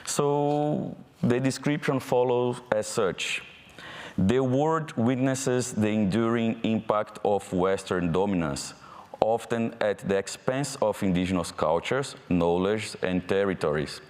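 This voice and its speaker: Brazilian guy hosting a panel in a hall, really low voice, big reverb, kinda halting. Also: darkish tone. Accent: Brazilian Portuguese. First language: Portuguese. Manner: friendly, halting, with fillers and restarts. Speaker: panel moderator. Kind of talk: live panel moderation. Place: event hall. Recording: hall reverb from a live event.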